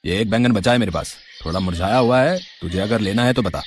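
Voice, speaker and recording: Man as brusque, fast-talking vegetable seller. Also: on the low side, slight background noise, natural voice. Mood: gruffly kind. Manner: brusque, curt. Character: gruff male vegetable seller with a soft heart. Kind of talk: animated moral story dialogue.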